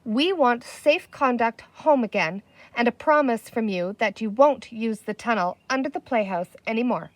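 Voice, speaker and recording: Storybook girl, played by a woman: bossy, exasperated, firm scolding delivery, highish and animated. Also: normal speed, no room sound, lightly acted. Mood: firm bargaining. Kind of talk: children's storybook character voice.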